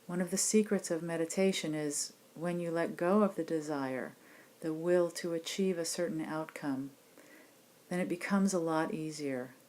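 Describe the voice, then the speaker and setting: Female meditation teacher, lowish voice, gentle and reassuring, leading a guided relaxation practice. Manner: gentle, unhurried, reassuring instruction. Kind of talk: guided body scan meditation instruction.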